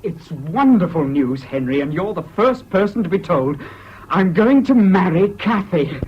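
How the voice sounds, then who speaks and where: An actor in a British radio drama playing a troubled, excitable, self-doubting young man with an English accent and an earnest manner. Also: medium pitch, normal speed, natural voice. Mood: elated. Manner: hesitant, earnest, swinging between gloom and giddy excitement. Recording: dull, hissy old radio recording.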